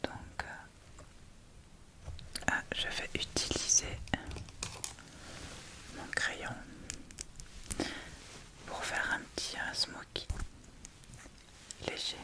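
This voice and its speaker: A woman whispering an ASMR makeup tutorial, hushed and unhurried, talking through each step as she goes. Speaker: ASMR video creator. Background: soft thunderstorm ambience.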